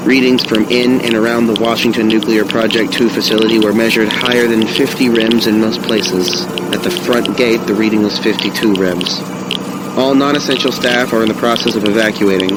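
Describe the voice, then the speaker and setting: An actor playing a grave emergency official in an audio drama, giving deliberate warnings. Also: male voice, fairly low pitch, fairly even intonation, close to an everyday voice. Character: grave male official reporting a nuclear radiation emergency. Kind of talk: modern audio drama acting. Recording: very loud outdoor vehicle and ambient noise.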